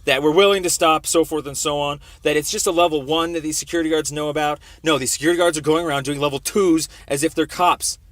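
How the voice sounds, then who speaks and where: A man's voice: on the high side, fairly even intonation, fast talker, hardly any pauses, fairly bright tone, no room sound. A man arguing his complaint on a phone call in an insistent, repetitive way, often talking over the other person. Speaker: complainant calling police internal affairs. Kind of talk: argumentative phone conversation.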